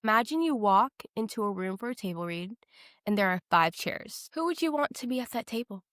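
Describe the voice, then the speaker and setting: A woman's voice: medium pitch, expressive, fairly quick, a bit bright, no echo, studio quality. A woman co-hosting a podcast, talking casually and informally in a gushing way to her guest. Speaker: podcast co-host. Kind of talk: casual interview chat.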